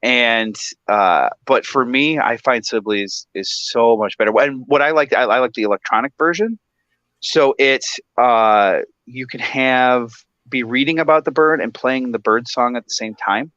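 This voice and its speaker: A man's voice: medium pitch, measured pace, fairly bright tone, no room sound, muffled recording. Chatty man in his thirties from Michigan. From Michigan, USA. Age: 30s. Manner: chatty, laughs often, many restarts. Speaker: guest. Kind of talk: casual chat.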